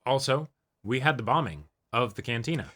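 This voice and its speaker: Male co-host for casual episode talk. Bright, forceful voice, middle pitch, emphatic and informal. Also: animated, fairly quick. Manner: emphatic, informal, swears freely. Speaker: co-host of a reaction show.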